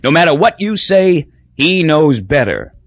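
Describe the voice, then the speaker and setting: A man voicing a loud, boozy, crude middle-aged businessman who talks in folksy bluster. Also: a little high, big pitch swings, unhurried, no room sound, dull audio, voice pushed up, exaggerated voice.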